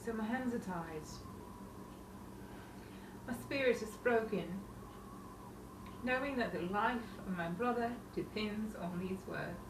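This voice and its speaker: An actress playing a solemn, burdened sister bearing tragic news, with a grave, measured theatrical delivery. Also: female voice, mid-range voice. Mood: grave, sorrowful. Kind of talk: 1950s-style romantic drama acting. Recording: roomy, distant, clearly noisy stage sound.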